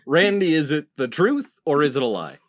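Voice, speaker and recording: A woman's voice: low voice, big pitch swings, normal speed. A woman hosting a casual podcast quiz, calling turns and scores in an orderly way. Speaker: game show host.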